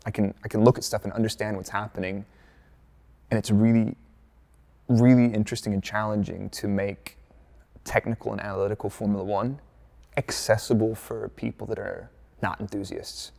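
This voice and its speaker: A laid-back American man from Dallas talks through interview answers with a low, gravelly voice. He keeps it chatty, full of fillers and jokey asides. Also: little pitch movement, moderate pace, a little mellow. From United States, Dallas. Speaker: F1 content creator, former performance engineer.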